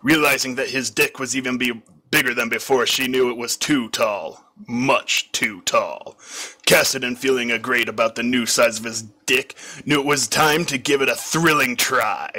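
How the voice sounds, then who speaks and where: A man's voice: medium pitch, moderate pace, bright, forceful tone. A man reading a comic fan fiction story aloud as scripted narration.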